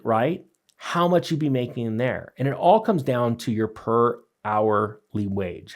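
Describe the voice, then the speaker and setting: A man's voice: mid-range voice, a little mellow. A man hosting a casual explanatory talk, speaking directly to viewers in a conversational way with frequent check-in questions. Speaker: host, side hustle course teacher.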